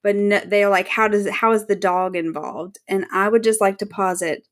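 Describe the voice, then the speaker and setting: A woman's voice: mid-range voice, steady tone, normal speed. A woman co-hosting a podcast, chatting casually about a show and jumping in to playfully argue her point. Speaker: podcast co-host. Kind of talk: casual fan chat.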